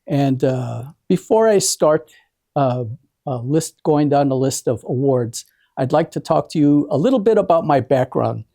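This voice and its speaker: An older American man with a soft-edged, mellow tone addresses a gathering as a presenter. His delivery is halting and informal, with words repeated and phrases restarted as he goes. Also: fairly high pitch, lively intonation. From Hawaii, United States. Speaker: artist presenting an award ceremony. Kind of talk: informal prepared speech to an audience.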